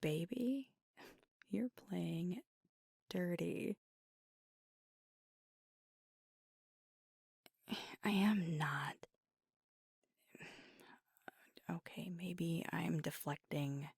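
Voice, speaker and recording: A woman acts out a young werewolf girlfriend in an ASMR audio roleplay, fiery at heart but weary and guarded. Her voice is low-leaning, husky and crackling with fry, right up against the listener in a dry space. She confides in a tender, halting hush. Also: no room sound, exaggerated voice. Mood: tender, teasing. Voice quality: breathy, husky. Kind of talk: ASMR roleplay, intimate scripted monologue.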